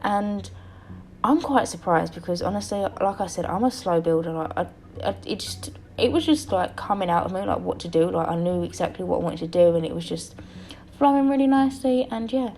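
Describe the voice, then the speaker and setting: Young woman, tired and slightly croaky, low-pitched, rambling and apologetic casual voice-over, faint hiss. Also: brisk, a little mellow. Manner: rambling, self-correcting, apologetic. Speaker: game building vlogger.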